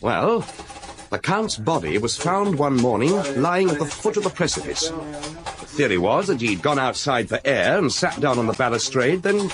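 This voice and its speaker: An actor playing a young, earnest police inspector in a radio drama, answering respectfully and briefly. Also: male voice, a little high, sing-song, moderate pace.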